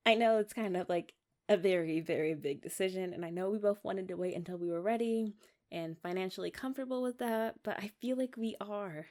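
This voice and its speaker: A young woman plays a loving young wife in a romantic ASMR roleplay. She has a medium-pitched voice and speaks tenderly and haltingly, straight to her partner. Her delivery is sentimental and girlishly eager. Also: a little mellow, close to an everyday voice. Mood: earnest, nervous. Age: young adult.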